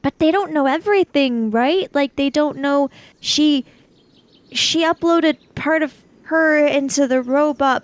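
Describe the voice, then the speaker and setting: A woman roleplaying an anxious, questioning kid in a 1980s sci-fi mystery tabletop game, rambling through questions in a creaky voice. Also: high-pitched, dry recording, natural voice. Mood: worried. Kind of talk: improvised in-character tabletop roleplay.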